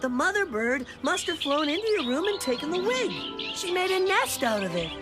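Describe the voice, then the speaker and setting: A woman's voice: extremely high pitch, very animated, moderate pace, over loud music. A woman voicing an earnest, self-important little boy detective in a children's cartoon, with a pompous manner. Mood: proud.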